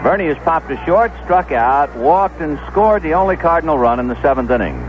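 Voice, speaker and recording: A man doing 1970s radio baseball play-by-play, measured and descriptive, telling small stories between pitches. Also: animated, background noise. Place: stadium broadcast booth. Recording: dull lo-fi vintage AM radio broadcast.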